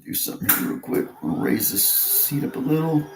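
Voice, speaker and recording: A man from Texas talking casually to camera in a halting way, with many restarts and pauses. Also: on the high side, expressive, creaky, very noisy. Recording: roomy echo.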